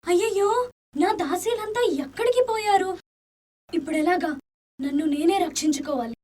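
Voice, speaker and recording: A woman's voice: very high voice, normal speed, darkish tone, distant mic. An actress playing a frightened but spirited young woman on the run in a radio play, dramatic in delivery. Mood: alarmed. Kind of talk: radio play acting in Telugu.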